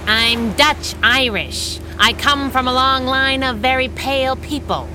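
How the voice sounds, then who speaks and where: An actress playing a sassy, fearless adventuress in a radio serial, pert and teasing. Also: female voice, high-pitched, unhurried, pushed and bright. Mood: playful. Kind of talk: 1930s-style radio adventure acting. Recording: noisy boat ambience.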